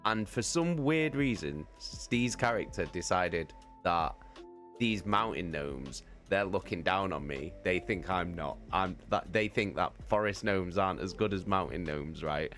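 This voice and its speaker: A man with a fairly low voice tells a casual, sweary story in a highly animated way, his pitch swinging widely. He speaks at an unhurried pace and repeats phrases for emphasis. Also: faint music behind. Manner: sweary, excitable, emphatic repetition, giggly. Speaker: live streamer telling a story. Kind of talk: casual rambling anecdote.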